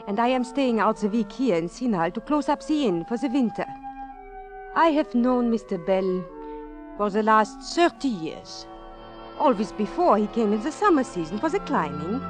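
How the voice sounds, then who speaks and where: An actress playing a lonely, middle-aged widowed innkeeper, gentle and formal, in a 1940s radio drama. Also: female voice, fairly high pitch. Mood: narrating, puzzled. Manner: wistful, formal, careful phrasing.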